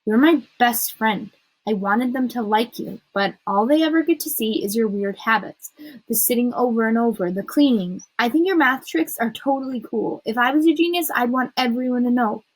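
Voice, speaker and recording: A woman acts out a talkative schoolgirl from a children's audiobook. She is dramatic and emphatic, her pleading voice pitched high with a husky edge. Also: moderate pace, rough recording. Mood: earnest.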